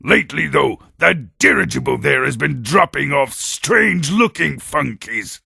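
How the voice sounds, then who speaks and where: An actor playing a boastful, brawny warrior creature in a video game, blustering and menacing in a gravelly, raspy voice. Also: male voice, on the high side, expressive, a little slow, sharp tone, no room sound, clean studio sound, heavily acted. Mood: gruff, suspicious. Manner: swaggering, bragging, menacing. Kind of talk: video game cutscene acting.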